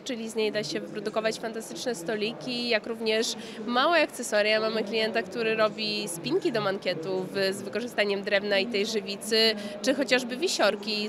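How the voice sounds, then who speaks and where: A woman in her thirties giving an enthusiastic, explanatory interview as a company marketing manager, speaking into a handheld microphone. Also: fairly high pitch. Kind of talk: spontaneous trade fair interview. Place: indoor exhibition hall in front of backdrop. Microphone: handheld interview microphone just below frame. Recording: busy trade fair hall crowd noise. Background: crowd hubbub.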